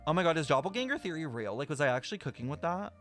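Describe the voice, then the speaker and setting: A high-voiced man streams a live game reaction, gushing and dramatic, swearing freely and repeating his exclamations. Game music plays faintly behind him. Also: big pitch swings, very fast, a bit bright.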